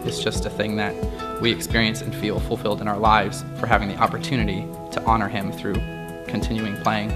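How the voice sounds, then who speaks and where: A man's voice: fairly low pitch, completely flat delivery, fairly quick, over loud music. A man, the new band teacher, speaking earnestly and reflectively in an interview.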